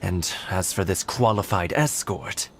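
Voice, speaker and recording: A cautious, dutiful guard. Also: male voice, sits low, unhurried, fairly bright tone, a little hiss or hum. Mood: concerned. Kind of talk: video game voice acting.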